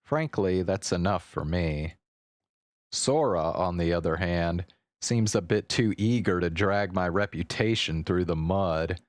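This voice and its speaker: A low-pitched man streaming a visual novel, reading the first-person narration aloud. His delivery is measured with occasional stumbles, and the sound is dry and close. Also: no room sound.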